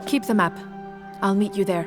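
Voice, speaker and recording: Young sceptical companion female actor: medium pitch, blunt, questioning, game dialogue with music behind. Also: moderate pace, close to an everyday voice. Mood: earnest. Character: young, direct, sceptical local Inca companion. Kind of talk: video game cutscene acting. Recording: music under the voice.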